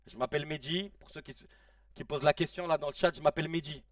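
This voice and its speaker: A man in his late thirties hosting a casual live stream, reacting excitedly to a football match in slangy chat and shouting at the players. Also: a little high, fast talker, no room sound, studio quality, far from the mic. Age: 30s. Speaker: live-stream watch-along host.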